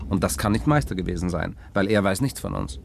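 An actor playing a sceptical journalist in a 1960s radio play, reasoning things out in a dry, musing way. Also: male voice, low-pitched, quick pace, gravelly. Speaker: journalist character. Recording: faint hiss, old tape.

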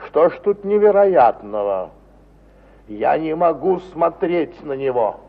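A touchy, dignified gentleman in a vintage radio play. He speaks very slowly and formally, with elaborate politeness. The old recording is slightly muffled. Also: a little high, lively intonation, vocal fry, exaggerated voice. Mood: solemnly aggrieved. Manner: measured, formal, over-polite. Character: ceremonious, dignified, touchy provincial gentleman nursing a grudge. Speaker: actor in an audio play. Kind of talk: 1950s Soviet radio theatre acting.

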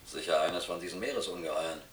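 A man's voice: deep voice, fast, slight background noise, natural voice. An actor playing a hapless, literal-minded seaman in a radio play, earnest and plaintive as he dutifully answers his captain. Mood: earnest, puzzled.

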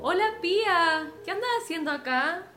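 A woman's voice: extremely high pitch, animated, normal speed, loud music behind the voice. An actress playing a friendly, modest working-class Chilean woman in a radio drama, casual and plain-spoken.

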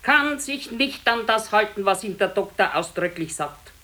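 An actress playing an ageing, plain-spoken wife in a naturalistic radio play, with a Bavarian accent and a homely, matter-of-fact manner. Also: female voice, medium pitch, lively intonation, normal speed, sharp tone. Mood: nagging, scolding. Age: older. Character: plain-spoken ageing wife, former servant girl, nagging. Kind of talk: naturalistic radio drama acting.

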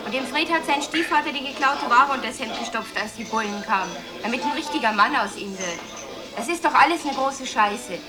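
High-voiced, cynical street youngster. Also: female voice, lively intonation, fairly quick, over loud music. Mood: bitter. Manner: blunt, mocking. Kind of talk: radio drama, slangy dialogue.

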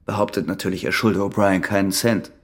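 Uneasy married man in a radio thriller, kinda low n raspy, careful. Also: steady tone, fairly quick. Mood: matter-of-fact. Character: worried, guarded husband under pressure. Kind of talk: German radio crime drama dialogue.

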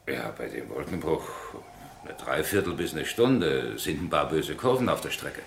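A chatty, obliging country innkeeper in a sixties German radio play. The very deep voice sits in a roomy space with faint background noise. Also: male voice, lively intonation, moderate pace, creaky, full character voice. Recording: roomy, noisy scene with ambience.

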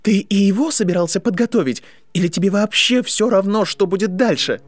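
A man's voice: high-pitched, sing-song, normal speed, a little mellow, pitched above the performer's own voice. A man voicing an anguished, accusing boy wizard in an audiobook, with a trembling, heated delivery over music. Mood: angry, anguished.

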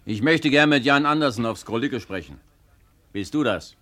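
An actor playing a brisk, blunt town lawyer in a 1950s German radio play, formal, direct and dryly amused. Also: male voice, medium pitch, expressive, pushed and bright. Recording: vintage 1950 radio recording.

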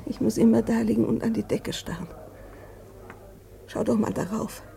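An actress playing a fearful, sleepless wife in a German radio drama, speaking in a hushed, breathy voice. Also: female voice, fairly high pitch, moderate pace, slight background noise. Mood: uneasy. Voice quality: breathy, whispery. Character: anxious, sleepless wife clinging to her husband.

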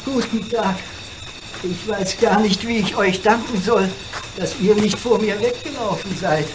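An actor playing a lonely, courteous old make-believe giant in a German radio play, plaintive and deliberate. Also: medium pitch, noisy background, natural voice. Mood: grateful, melancholy.

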